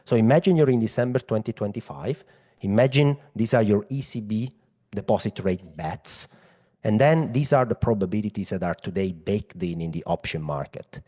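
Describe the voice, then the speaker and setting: Male presenter, Italian accent, low-pitched husky voice, conversational yet emphatic explainer addressing listeners directly. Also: moderate pace, warm tone. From Europe. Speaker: macro analyst presenting.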